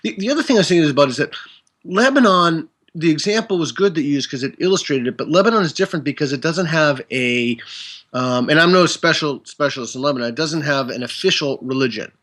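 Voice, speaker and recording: A man speaking as a guest in a conversation. He talks in a measured way, hedges his claims and reaches for academic words. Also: a little high, animated, fairly bright tone. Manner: measured, hedging, academic wording. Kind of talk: casual conversation.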